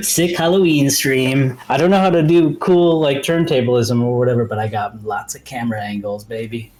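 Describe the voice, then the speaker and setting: A man's voice: medium pitch, steady tone, normal speed, darkish tone, low-quality recording. A man chatting casually in a slangy, joking way on a talk show. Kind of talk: casual chat.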